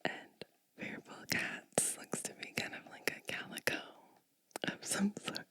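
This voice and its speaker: A woman whispering gently and breathily close to the microphone, reading a storybook aloud with chatty asides in an ASMR style. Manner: slow, gentle, hushed delivery with chatty asides.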